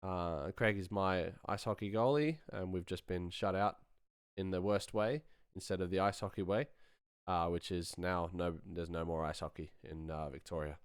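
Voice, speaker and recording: A man's voice: low voice, normal speed, clear, resonant tone, no echo, clean studio sound. A man hosting a live stream, chatting informally and thinking aloud as he works on sound design. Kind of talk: casual tutorial chat while working.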